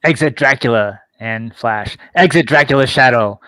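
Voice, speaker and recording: A man's voice: mid-range voice, moderate pace, a bit bright, dry recording. A man narrating a radio play, reading out the stage directions.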